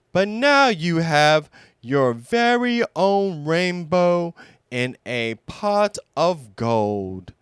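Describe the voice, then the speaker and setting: Cheerful, generous male bear for a children's storybook, done by a man; high, smooth, earnest. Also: no room sound, studio quality, exaggerated voice. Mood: proud.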